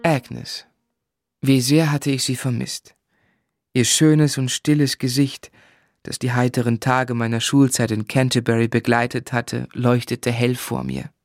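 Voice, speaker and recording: A man's voice: mid-range voice, level tone, measured pace, dry recording, studio quality, close to an everyday voice. An actor playing an earnest young man who tells his life in articulate literary German radio drama style. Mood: reflective.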